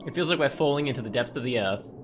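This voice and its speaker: A man's voice: medium pitch, expressive, rapid-fire, a little hiss or hum. A young man hosting a casual let's play, reading game text aloud and musing informally over it. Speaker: gaming video host.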